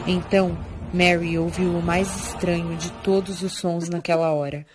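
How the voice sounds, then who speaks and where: A woman narrates a fantasy tale in a fairly low voice with a Brazilian accent. The delivery is scripted and dramatic, with prominent music underneath. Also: flat delivery, normal speed.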